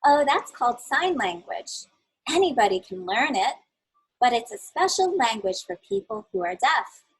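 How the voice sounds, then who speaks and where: A woman reading aloud as a kind, patient mother explaining things gently to her two boys. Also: on the high side, very animated, normal speed, husky, poor audio. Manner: gentle and reassuring. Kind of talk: storybook read-aloud.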